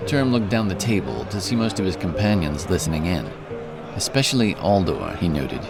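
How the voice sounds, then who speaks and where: Very deep male fantasy narrator. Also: very animated, moderate pace. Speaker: audiobook narrator. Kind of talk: scripted fantasy story narration. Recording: music behind the voice. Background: music.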